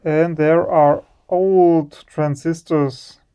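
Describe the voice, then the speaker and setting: A man hosting an electronics teardown video, slowly and admiringly commenting on the parts he finds. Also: a little high, steady tone, mellow, clear, resonant tone. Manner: slow, admiring, savoring each part.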